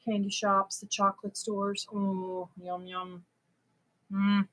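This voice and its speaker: A woman's voice: mid-range voice, flat delivery, slow, a bit bright, rough recording. A middle-aged woman from Germany, chatting casually with viewers as host of a crochet livestream and laughing easily. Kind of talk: casual livestream chat answering viewer comments.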